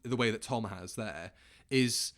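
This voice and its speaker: A man hosting a podcast commentary in a casual, halting, sweary way, often correcting himself. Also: fairly low pitch, a bit bright. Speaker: podcast host.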